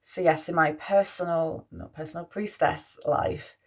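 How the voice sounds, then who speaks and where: A young woman with a Mancunian accent, a priestess talking softly through her spiritual values with frequent restarts. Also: deep voice, fairly even intonation, a little slow. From Manchester, England. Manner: musing, soft-spoken, with frequent restarts. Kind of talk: spoken reflection on spiritual values.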